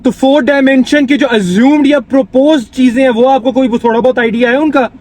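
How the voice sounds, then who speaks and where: A man's voice: very high voice, fairly even intonation, a little fast, darkish tone. A man with a Pakistani accent playing a condescending, fussy father seeking a tutor on a prank phone call, insistent and repeating himself. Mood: condescending, probing. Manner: insistent, repeats himself, mixes English into Urdu. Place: inside a car while driving.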